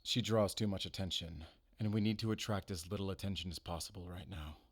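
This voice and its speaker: A man's voice: low voice, fairly even intonation, a little fast, background noise. An actor playing a guarded, determined male werewolf hunter on a spy mission, speaking tersely in an audio drama. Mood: resolute. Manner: terse, clipped, businesslike. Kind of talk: cinematic audio roleplay acting.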